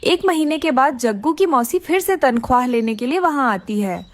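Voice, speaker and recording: A woman's voice: sits high, animated, moderate pace. A woman narrating a scripted children's story.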